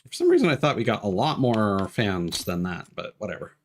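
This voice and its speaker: A man giving casual live gameplay commentary as a streamer, low-key and thinking aloud as he plays. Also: wildly swooping pitch, brisk, slight background noise. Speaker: video game streamer. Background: game music.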